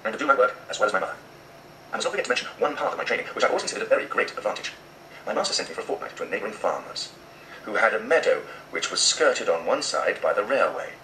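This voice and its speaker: A man's voice: fairly low pitch, big pitch swings, racing, pushed and bright, slight background noise, poor audio. A man reading an audiobook expressively, played through a tablet speaker in a room. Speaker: audiobook narrator. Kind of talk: expressive scripted audiobook narration.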